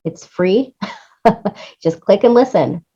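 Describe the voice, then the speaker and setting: A woman's voice: mid-range voice, slow, mellow, slightly rough, lo-fi sound. A woman speaking as an interview guest in an enthusiastic, effusive way, often repeating phrases for emphasis. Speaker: interview guest, music supervisor and producer.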